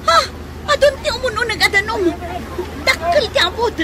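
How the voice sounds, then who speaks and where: A radio drama heroine in distress, young, bossy and urgent. Her voice is extremely high and lively in pitch. Loud outdoor effects run behind her. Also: moderate pace. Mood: alarmed, urgent. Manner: urgent, exclaiming. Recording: noisy outdoor sound effects, crowd behind.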